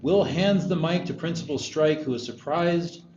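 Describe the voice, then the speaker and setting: A man's voice: on the high side, normal speed, darkish tone. A man reading stage directions as narrator at a screenplay table read. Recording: dull echoey room, noisy.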